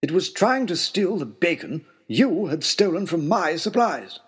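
Pompous, irritable lord leading an expedition, played by a male narrator, blustering and animated, for an audio adventure story. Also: high voice, measured pace, breathy edge, voice pushed up, heavily acted. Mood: irritated. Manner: pompous, bellowing.

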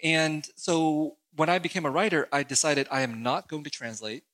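A man, an author from the United States, explaining his views in an animated, emphatic way during an interview. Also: a little high, moderate pace. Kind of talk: interview conversation.

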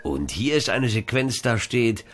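An actor playing a wry, squeamish celestial employee with a whiny, sardonic manner in a comedy radio play. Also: male voice, mid-range voice, very animated, measured pace, a bit bright, soft background music. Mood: wry, busy.

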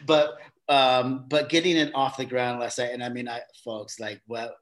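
A man's voice: mid-range voice, little pitch movement, normal speed, fairly bright tone. Rambling middle-aged man explaining his theater work. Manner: rambling, restarts often, laughs mid-sentence. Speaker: guest, theater company facilitator. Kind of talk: casual interview talk.

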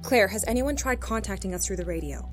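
A woman playing a brisk, commanding federal agent in an audio drama. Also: mid-range voice, animated, music in the background, natural voice. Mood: firm, brisk. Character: firm, take-charge female federal agent.

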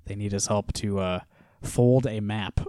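Man, lowish voice, dry close sound, casual comic recap, chuckles often. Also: measured pace, dry recording. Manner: relaxed, laughs often, trails off quietly. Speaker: podcast co-host. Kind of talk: casual chat reviewing a comic.

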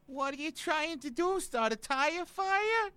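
Lady doing a really high distressed woman in a comedy skit, totally melodramatic. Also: animated, measured pace, a bit bright, no echo. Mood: exasperated. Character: panicky high-voiced woman in a comedy spoof.

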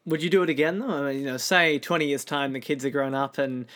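A man's voice: fairly high pitch, steady tone, fast, a bit bright. A man hosting a podcast, putting questions to his guest in a casual, conversational style. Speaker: podcast host, interviewer. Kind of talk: casual interview chat.

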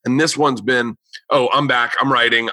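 Male comedian-host, casual swearing storyteller, bright tone, dry studio sound. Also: mid-range voice, steady tone, normal speed, no echo. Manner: loose, profane storytelling with quoted voices. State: losing his voice. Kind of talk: casual chat.

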